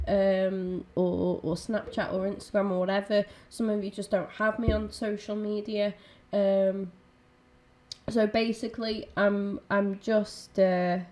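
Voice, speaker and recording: Croaky, raspy woman streamer. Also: medium pitch, mellow. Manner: chatty, rambling, with many ums and restarts. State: croaky and nasal from illness. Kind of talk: casual gaming stream chat.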